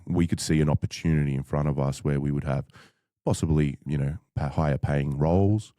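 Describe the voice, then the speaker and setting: A man as a podcast guest, telling his story in a measured, thoughtful way with frequent fillers. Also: extremely low pitch, fairly even intonation, warm tone, no echo, studio quality. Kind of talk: reflective conversational storytelling.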